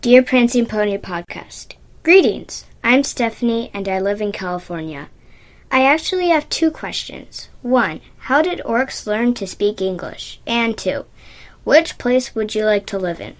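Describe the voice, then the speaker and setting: A woman reads out a prepared question as a listener to a show. Her voice is somewhat high, and she speaks very slowly. Each word is carefully and clearly pronounced. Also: animated. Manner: careful, well-enunciated. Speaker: listener sending a recorded question.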